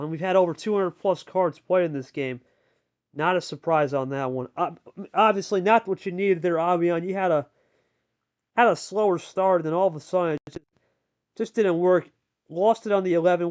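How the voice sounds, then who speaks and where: A male commentator with a voice pitched a bit above average. He hosts and calls a card game like live sport, using colloquial, buddy-to-buddy phrasing. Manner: colloquial, addresses players as buddy. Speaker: play-by-play commentator and host.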